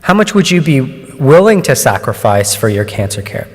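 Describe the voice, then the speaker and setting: Doctor lecturing in an echoing hall. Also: male voice, mid-range voice, big pitch swings. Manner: measured, explanatory, with frequent ums and restarts. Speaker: oncologist giving a talk. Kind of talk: conference presentation. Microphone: microphone at a lectern. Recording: long hall reverb.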